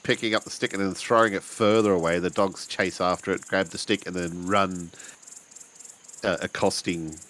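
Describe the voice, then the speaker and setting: A man playing a tabletop roleplaying game, casually improvising his character's actions and halting as he searches for words. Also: low-pitched, fairly even intonation, a bit bright.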